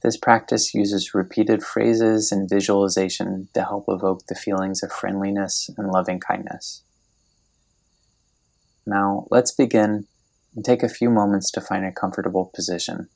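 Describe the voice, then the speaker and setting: Male meditation guide, low register with heavy vocal fry, gentle and unhurried scripted delivery. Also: level tone. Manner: gentle, unhurried, soothing instruction with long pauses.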